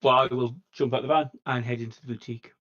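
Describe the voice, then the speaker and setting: A man's voice: mid-range voice, steady tone, quick pace, fairly bright tone. A man playing a tabletop roleplaying game, talking casually through his character's moves on dull audio.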